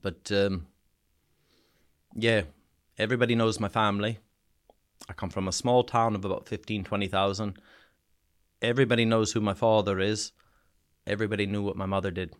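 A man from small-town eastern Canada with a Canadian accent touched by an Irish-sounding twang, telling his story frankly and haltingly as a podcast guest. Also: on the low side, fairly even intonation, smooth. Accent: Canadian mixed with an Irish-sounding twang. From small town in New Brunswick, eastern Canada. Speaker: podcast guest, soldier back from war. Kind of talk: personal interview storytelling.

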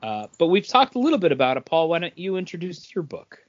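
A man's voice: a little high, big pitch swings, fast, no room sound. A man hosting a podcast in a casual, conversational review of comic books. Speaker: podcast host. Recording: dull, dry lo-fi sound.

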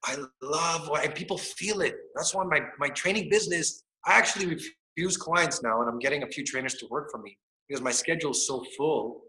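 A man's voice: a little high, brisk, hardly any pauses, pushed and bright, echoey room, rough recording. A man in his forties talking emphatically and motivationally in a casual podcast conversation, swearing freely. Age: 40s. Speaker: fitness coach and guest.

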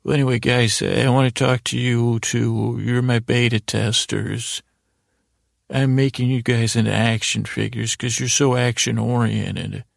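A man hosting a bedtime podcast in a gravelly voice, with a meandering, halting, self-deprecating monologue. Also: medium pitch, steady tone, no room sound, clean studio sound. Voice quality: very creaky, gravelly. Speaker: podcast host.

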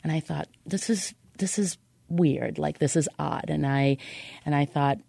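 A woman telling a personal story as a podcast guest, halting at times and repeating words for emphasis. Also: low voice, vocal fry, mellow.

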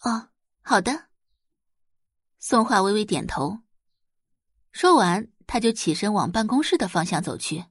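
A woman's voice: mid-range voice, lively intonation, normal speed, husky, no echo, studio quality. A woman narrating a scripted audiobook story as its storyteller.

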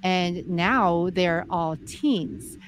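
Soft-spoken woman in her forties. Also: on the low side, a crowd in the background. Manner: soft-spoken, measured, explanatory. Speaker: podcast guest, entrepreneur. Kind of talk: casual interview conversation.

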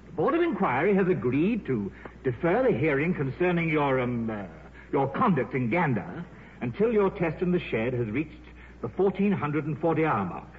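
Courteous, formal male executive, fairly high voice with animated delivery, vintage radio drama on a thin signal. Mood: formal, concerned. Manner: formal, measured. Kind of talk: 1950s radio drama acting. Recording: tinny, noisy 1950s radio broadcast.

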